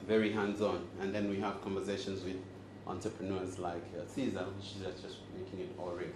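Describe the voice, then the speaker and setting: Zambian guy talking to a live crowd, lowish voice, jokes a lot, echoey room with noise behind. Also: level tone, fairly quick. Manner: joking and engaging, quizzes the crowd. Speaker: host and speaker. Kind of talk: live talk to an audience. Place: event room with audience.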